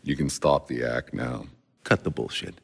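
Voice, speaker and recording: A man playing a gruff, weary, sarcastic soldier as a video game protagonist, terse and hard-bitten with a deep, gravelly voice. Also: moderate pace, full character voice. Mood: cold anger. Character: gruff, weary, sarcastic male Outrider soldier on a hostile planet. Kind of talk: dramatic video game voice acting.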